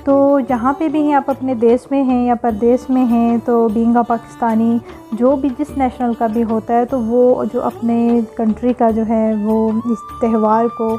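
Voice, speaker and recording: A woman's voice: on the high side, level tone, a little slow, faint music behind. A woman, hosting her cooking vlog in a casual, rambling voice-over full of filler phrases and blessings. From Pakistan. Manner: warm religious blessings and greetings. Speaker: cooking and family vlogger.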